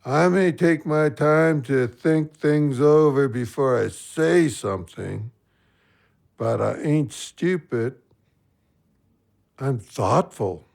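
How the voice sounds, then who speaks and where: A man playing a heartbroken, slow-witted-sounding but thoughtful drunk in an audio drama, rambling in a slurred voice. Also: fairly high pitch, gravelly, a little mellow.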